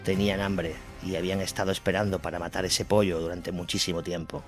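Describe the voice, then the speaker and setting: Male narrator, very low voice, scripted dramatic war narration, clear music bed behind. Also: flat delivery, fast talker.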